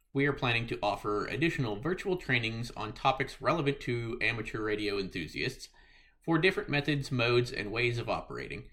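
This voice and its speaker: A man delivers a scripted club presentation. His voice sits at a middling pitch with a notably bright, forceful edge. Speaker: amateur radio club member presenting the club.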